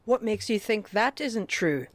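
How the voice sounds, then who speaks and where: A woman's voice: medium pitch, lively intonation, a little slow, no room sound. A woman voicing a stern, haughty, easily angered female pony schoolteacher in a fan audio drama. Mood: challenging. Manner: haughty, scolding. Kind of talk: animated-fandom audio drama acting.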